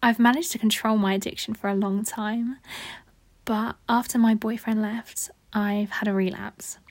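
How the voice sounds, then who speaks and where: A woman playing a seductive, needy lover in an intimate erotic audio roleplay, husky and breathy, often slipping into whispers, with a coaxing manner. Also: medium pitch, fairly even intonation, no room sound, close to an everyday voice. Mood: sultry, confiding. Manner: slow, sultry, coaxing.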